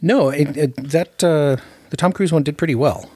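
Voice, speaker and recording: A man's voice: a little high, moderate pace, a little mellow, dry recording, clean studio sound. A man chatting casually about films in a wry, joking way. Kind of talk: casual film discussion.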